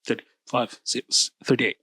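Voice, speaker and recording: A man, a podcast co-host answering questions thoughtfully, pausing and correcting himself. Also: bright, forceful tone, dry recording. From New Zealand. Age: 30s. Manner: thoughtful, hesitant, self-correcting. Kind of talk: reflective interview answers.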